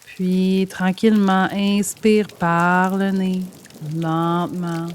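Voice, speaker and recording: A woman guiding a yoga nidra meditation in a gentle, soothing, measured way, leaving pauses between phrases. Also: lowish voice, clean tone. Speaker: meditation guide. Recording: steady rain sound over the voice. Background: rain sound.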